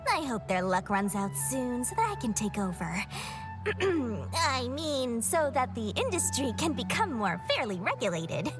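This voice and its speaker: A woman's voice: a little high, very animated, a little slow. A woman playing a sly, self-assured, money-loving young merchant in an anime-style video game, theatrical and businesslike. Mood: scheming. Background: game music.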